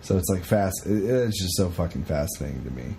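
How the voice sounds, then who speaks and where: A man co-hosting a podcast, chatting casually in an animated, rambling, sweary way as he retells stories. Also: low voice, a little fast, vocal fry, a little hiss or hum. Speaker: podcast co-host. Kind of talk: casual chat retelling a historical story.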